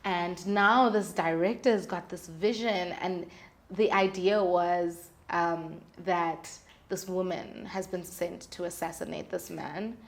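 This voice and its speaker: A woman's voice: on the low side, steady tone, slow. A young woman speaking candidly and expressively in casual, slangy conversation as an interview guest. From South Africa. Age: young adult. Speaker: guest, singer-songwriter.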